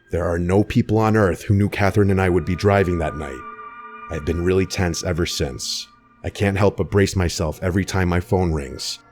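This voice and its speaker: A man reading a scripted first-person horror story in a measured, suspenseful way. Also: low voice, a little mellow. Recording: music bed under the voice.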